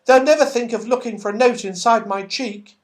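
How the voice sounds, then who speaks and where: A man's voice: very high voice, a little fast, voice pushed up. A man voicing a small, eager, brave red squirrel in a children's storybook. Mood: eager, earnest.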